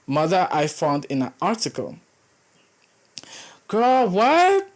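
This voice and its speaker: A woman's voice: on the low side, huge pitch swings, slow, a little mellow. A young woman, reading horror stories aloud and breaking in with dramatic, reactive commentary, often stumbling over the typos. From Caribbean.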